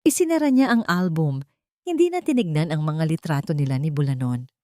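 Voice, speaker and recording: Female story narrator, lowish register, solemn measured phrasing, dry studio-like sound. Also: lively intonation, a little mellow, no room sound. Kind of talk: scripted dramatic story narration.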